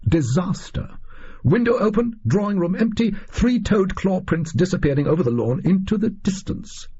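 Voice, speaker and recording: A man's voice: sits high, expressive, moderate pace, mellow, husky, dull audio, voice pushed up, heavily acted. A man voicing a pompous, eccentric explorer-scientist reading out his diary in clipped, self-important phrases, for an audio story. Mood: self-satisfied.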